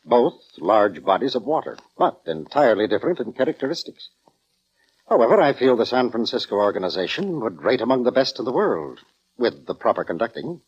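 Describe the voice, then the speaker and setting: An actor playing a pompous, self-important orchestra conductor in 1940s radio drama style, formal, theatrical and easily affronted. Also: male voice, mid-range voice, sing-song, a little fast, breathy edge. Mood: proud, lofty. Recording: dull vintage radio recording.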